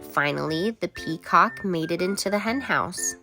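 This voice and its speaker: A woman reading a children's storybook aloud as an expressive, deliberate narrator. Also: lowish voice, faint music behind. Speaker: children's book reader.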